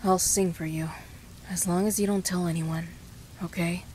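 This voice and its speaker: Woman as subdued, low-voiced caring boy in rain. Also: moderate pace, a little hiss or hum, lightly acted. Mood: soft, comforting. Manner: low-key, flat, gently reassuring. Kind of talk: audio roleplay, speaking softly to listener. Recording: steady rain and thunder behind voice. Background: rain and thunder.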